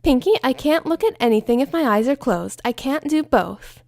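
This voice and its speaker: Brief: fussy, refined female unicorn designer, raised pitch, voiced by a woman reader. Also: lively intonation, moderate pace, vocal fry, darkish tone, dry recording, clean studio sound. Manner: refined and indignant.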